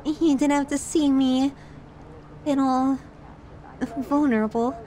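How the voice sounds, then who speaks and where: Slow, possessive lovesick yandere played by a woman. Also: high-pitched, steady tone, mellow. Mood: tender, confessional. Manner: intimate, slow, doting on a silent lover. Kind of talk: ASMR roleplay audio drama.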